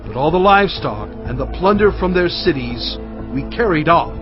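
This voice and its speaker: A fairly high-pitched, solemn male leader, acted by a man tells his people's history in measured phrases. It is audio Bible drama, slightly dull in sound, with music underneath. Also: lively intonation, lightly acted. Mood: solemn recounting.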